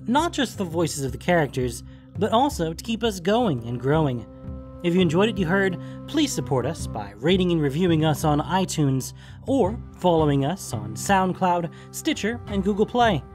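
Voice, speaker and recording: Lively woman reading podcast credits. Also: sits low. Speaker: podcast announcer. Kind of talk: scripted outro and credits read. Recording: music behind the voice.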